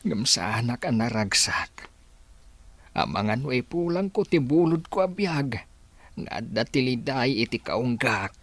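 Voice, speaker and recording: Man acting a weary, husky old father. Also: medium pitch, sing-song, unhurried. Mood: weary, sad. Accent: Ilocano Filipino. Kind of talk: Ilocano radio drama acting.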